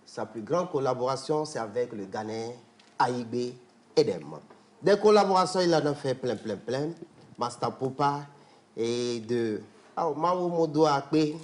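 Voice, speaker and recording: Un homme à l'accent togolais qui présente l'invité sur un ton joueur, en scandant des listes et en chantonnant. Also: male voice, fairly high pitch. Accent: Togolese French. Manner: playful, rhythmic, chanting lists. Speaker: show regular presenting the guest. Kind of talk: lively praise-style guest presentation.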